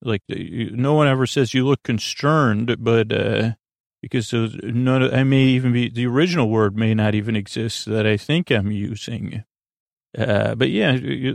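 A man hosting a bedtime podcast in a creaky, lulling, soothing voice, rambling and halting as he interrupts himself, with softly enunciated words. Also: mid-range voice, no room sound, clean studio sound. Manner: meandering, halting, self-interrupting; soft, gentle enunciation. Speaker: podcast host.

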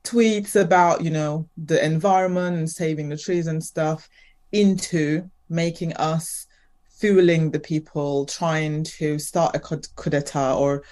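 A woman's voice: deep voice, steady tone, unhurried, darkish tone. Hesitant woman from Turkey, fillers. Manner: hesitant, many fillers and restarts. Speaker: guest. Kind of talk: casual podcast chat.

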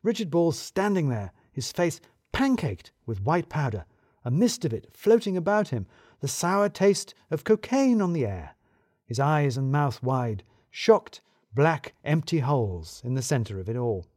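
A man narrating a scripted first-person story in a wry, expressive manner. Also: a little high, a little slow, no echo, studio quality.

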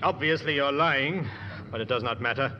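An actor playing a commanding German warship captain in a 1950s radio drama, formal and demanding with a German accent. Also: male voice, medium pitch, moderate pace, crowd noise, lightly acted. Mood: imperious. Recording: hall reverb, noisy dull old radio recording.

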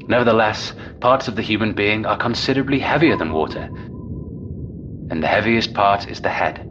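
A man playing a stern, measured, didactic narrator reading an old-fashioned instruction manual. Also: fairly low pitch, background noise, close to an everyday voice. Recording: dull lo-fi sound with music. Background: music.

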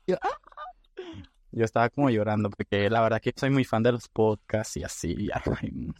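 A man's voice: fairly low pitch, moderate pace, no room sound, studio quality. A man co-hosting a casual podcast, talking in a playful, joking and theatrical way. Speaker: podcast co-host.

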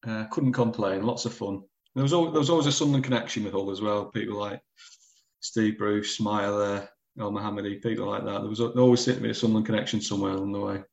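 A man, a football journalist, answers podcast questions in a friendly, measured way, restarting a few words here and there. His voice is fairly low. The line sounds dull and lo-fi, like a remote call. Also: steady tone, creaky, a little mellow.

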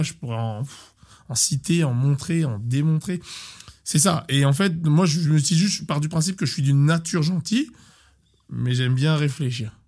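Animated man coach, slightly high voice. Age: 30s. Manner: animated, repeats words, restarts often. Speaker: sports coach. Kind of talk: casual interview talk.

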